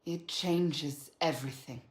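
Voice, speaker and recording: A woman's voice: sits low, slow pace, echoey room. An actress playing a stern, guarded warrior woman in a fantasy game, speaking formally with intense emphasis. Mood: stern, pained. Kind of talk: dramatic fantasy game voice acting.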